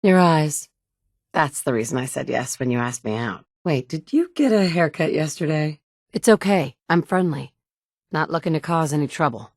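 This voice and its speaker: A woman's voice: sits low, lively intonation, moderate pace, no room sound. A woman playing a determined young mother surviving in a wasteland, with earnest, dry, conversational delivery in video game dialogue. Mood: warm, teasing, then concerned, friendly. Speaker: video game player character.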